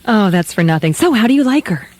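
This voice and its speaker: A woman's voice: medium pitch, animated, quick pace, a little mellow, a little hiss or hum, close to an everyday voice. A woman playing a knowing, coaxing small-town mother in a radio play. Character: small-town mother, nudging, matchmaking, fond of her son. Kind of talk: live radio play reading.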